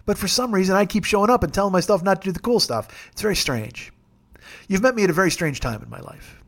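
Man, 40s, gravelly voice, higher pitch, podcast host, casual rambling monologue, self-mocking humor. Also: animated, fast, a bit bright. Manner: self-deprecating, rambling, jokey.

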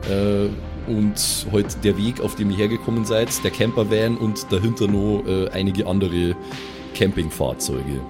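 A man with a fairly low voice narrates a tabletop adventure as game master. He tells it deliberately and with suspense, over prominent background music. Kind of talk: improvised narration of tabletop audio play.

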